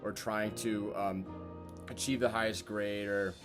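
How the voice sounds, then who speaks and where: A man's voice: fairly low pitch, little pitch movement, measured pace. A man of twenty, a university student, speaking earnestly and thoughtfully about his own experience. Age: 20s. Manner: earnest, thoughtful, uses fillers. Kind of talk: reflective testimonial. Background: music.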